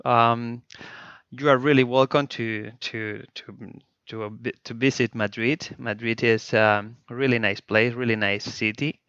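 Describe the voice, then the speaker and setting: A man's voice: on the low side, pushed and bright, smooth, no echo, highs cut off. A middle-aged Spanish man with a Spanish accent, speaking English as a second language, hesitant with fillers and restarts as an interview guest. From Spain. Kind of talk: casual interview chat.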